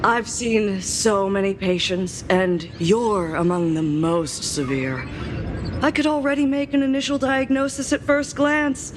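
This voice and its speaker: A woman playing a teasing, self-assured doctor in a video game, with a dramatic, expressive delivery. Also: medium pitch, unhurried, noisy background, lightly acted. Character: theatrical female doctor diagnosing patients, teasing and assured. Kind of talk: scripted game voice acting. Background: background music.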